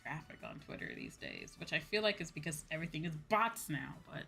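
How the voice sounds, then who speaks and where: A woman's voice: deep voice, wildly swooping pitch, a little fast, gravelly, pushed and bright, breathy edge, faint music behind. A woman streamer chatting casually to her livestream, with an exasperated, venting manner and some casual swearing. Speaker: VTuber streamer, host.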